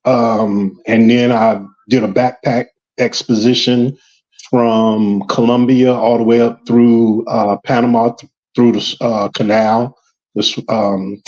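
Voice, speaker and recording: A man's voice: medium pitch, little pitch movement, slow, darkish tone, highs cut off. A man from Georgia with a light Southern US accent, talking on a casual date in a rambling, hesitant way. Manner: rambling, hesitant, with many ums. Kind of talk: casual getting-to-know-you chat.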